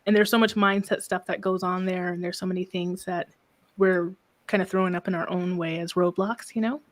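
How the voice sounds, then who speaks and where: A soft-spoken woman business coach talking calmly in a casual interview, often ending thoughts with a questioning "right?". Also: lowish voice, a little fast. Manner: calm, reflective, frequent "right?" tags.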